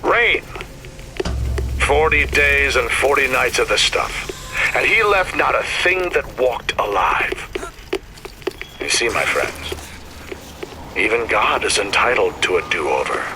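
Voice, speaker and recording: A man's voice: mid-range voice, animated, slow, pauses often, background noise. An actor playing an older male prophet-leader in a video game, speaking in a solemn preaching cadence. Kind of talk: video game cutscene acting.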